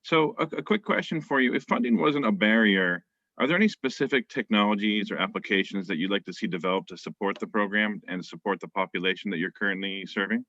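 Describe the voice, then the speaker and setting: A man's voice: fairly low pitch, fast, bright, forceful tone, dry recording. A middle-aged man hosting a video call interview, polite and encouraging, with the odd um. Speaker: interview host. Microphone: webcam on video call. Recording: dry muffled video call audio.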